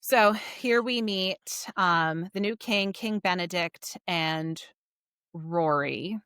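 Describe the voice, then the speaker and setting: A woman's voice: on the low side, slow, a bit bright, smooth, dry recording, clean studio sound. A woman co-hosting a podcast, explaining things in a casual, conversational book discussion. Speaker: podcast co-host.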